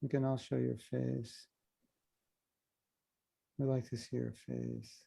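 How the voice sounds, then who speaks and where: A man teaching over a video call, his voice low-toned and dark with a gravelly edge. He talks in an easy, joking way, teasing his listeners as he answers questions. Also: little pitch movement, slow pace. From United States. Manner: humorous, teasing, easygoing. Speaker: spiritual teacher.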